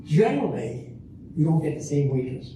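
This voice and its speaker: Man playing a high-voiced, stingy old husband, echoey hall. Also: sing-song. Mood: smug. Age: older. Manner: halting, repeats phrases, folksy. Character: stingy, scheming old husband at a diner counter. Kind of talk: amateur reader's theater comedy performance. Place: large echoey room, live stage reading. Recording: echoey hall from far off, noisy.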